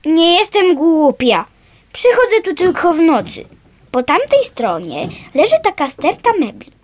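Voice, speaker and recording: An actress playing a sassy, sarcastic, streetwise runaway little girl in a radio play, quick to snap back. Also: very high-pitched, big pitch swings, heavily acted. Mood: guarded, cheeky. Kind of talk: naturalistic radio drama acting.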